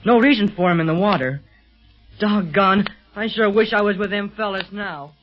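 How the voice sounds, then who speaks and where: A man's voice: high voice, normal speed, faint music behind, lightly acted. An actor playing an earnest teenage boy aviation enthusiast in a 1930s radio adventure serial, boyish and slangy. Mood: worried. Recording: muffled 1930s radio recording.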